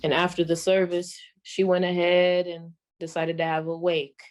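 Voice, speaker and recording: A woman playing a folksy older stand-up comedienne of the 1940s, telling jokes in a drawn-out, deliberate way. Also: fairly low pitch, flat delivery, a little mellow, close to an everyday voice. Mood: folksy, wry.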